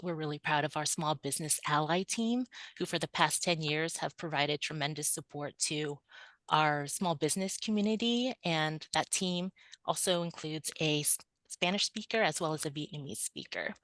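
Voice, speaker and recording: A woman's voice: deep voice, a bit bright, no echo, low-quality recording. A woman presenting on a webinar panel, speaking steadily with frequent ums. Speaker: city planning manager.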